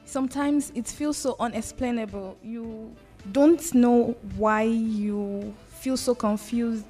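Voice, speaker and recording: A woman's voice: fairly high pitch, steady tone, slow, warm tone, soft background music. A young adult woman speaking as a radio panellist in simple, somewhat hesitant phrases. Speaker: panel discussant.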